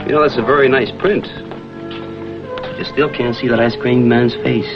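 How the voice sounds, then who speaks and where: An actor playing a wry, laconic private detective in a vintage screen drama. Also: male voice, mid-range voice, fairly even intonation, moderate pace, natural voice. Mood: easygoing. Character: wry, cool private detective, adult man. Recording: dull vintage TV soundtrack, background music.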